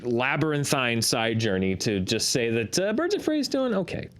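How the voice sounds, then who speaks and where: A man's voice: medium pitch, sing-song, normal speed, fairly bright tone. A man hosting a box office show, explaining earnestly in a conversational style and often correcting himself as he goes.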